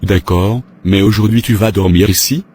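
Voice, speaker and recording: Low-voiced man playing an insistent lover. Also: moderate pace, warm tone, husky. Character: impatient widowed male lover, insistent and sulky. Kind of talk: animated soap drama dialogue.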